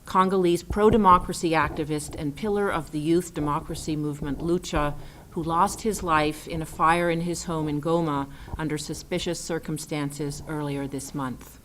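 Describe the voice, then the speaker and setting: A woman's voice: sits low, fairly even intonation, normal speed, fairly bright tone, slight background noise. A woman moderator reading a formal, prepared introduction of the speakers at an event. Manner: formal, reads from a prepared text. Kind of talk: scripted introduction.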